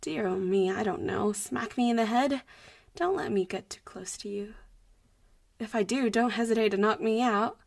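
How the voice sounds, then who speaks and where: Breathy, teasing vampire schoolgirl, acted by a woman. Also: fairly high pitch, moderate pace, no echo, studio quality. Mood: casual, protective. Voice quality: breathy, often dropping to a whisper. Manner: playful, giggly, intimate. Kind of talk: audio roleplay voice acting, addressing the listener.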